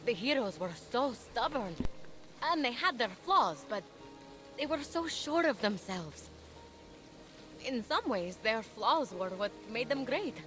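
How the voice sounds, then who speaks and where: A woman's voice: on the high side, very animated, slow pace. A woman playing an earnest, plucky young hero from Greek myth in a video game, casual and sincere in delivery. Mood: reflective. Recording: music behind the voice.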